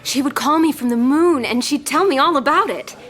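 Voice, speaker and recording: A woman's voice: high voice, brisk, fairly bright tone. An actress playing an anxious, earnest young woman in an audio drama, speaking with emotional, defensive feeling. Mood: insistent. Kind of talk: scripted audio drama dialogue.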